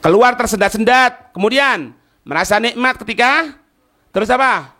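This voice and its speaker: Male religious instructor, very high bright voice, explains phrase by phrase with rhetorical questions. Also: animated, normal speed. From East Java, Indonesia. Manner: didactic, repeats phrases, asks rhetorical questions. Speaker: Islamic religious teacher. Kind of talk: religious lesson explaining a text line by line.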